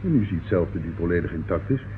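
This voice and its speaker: An actor in a radio play playing a formal bank manager. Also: male voice, sits low, lively intonation, quick pace. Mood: puzzled, formal. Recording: tinny, faintly noisy old radio recording.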